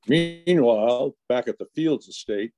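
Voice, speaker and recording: A man's voice: mid-range voice, expressive, a little slow, background noise. A man reading scripted narration for an old-time radio drama, with the role of narrator.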